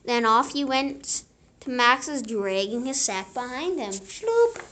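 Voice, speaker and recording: Female, children's storybook reading, high bright voice, unhurried, punchy sound effects. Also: expressive. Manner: punchy sound-effect words, deliberate phrasing.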